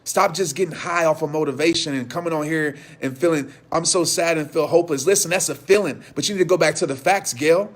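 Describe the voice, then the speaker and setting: A man in his thirties giving an impassioned motivational talk to viewers, hoarse from losing his voice, with a repetitive preaching cadence. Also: fairly high pitch, quick pace, hardly any pauses. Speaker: motivational speaker.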